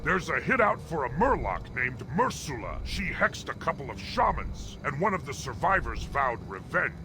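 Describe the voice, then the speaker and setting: A man voicing a hearty, scheming orc hunting guild master in a fantasy game, with animated delivery. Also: fairly high pitch, moderate pace, noisy background. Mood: gleeful. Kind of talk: video game character dialogue.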